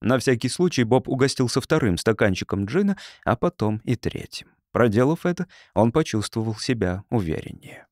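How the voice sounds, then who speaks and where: A man reading a humorous science fiction audiobook in an expressive, dramatised way. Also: medium pitch, normal speed, hardly any pauses, no echo, clean studio sound. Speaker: audiobook narrator. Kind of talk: scripted narration of a humorous science fiction story.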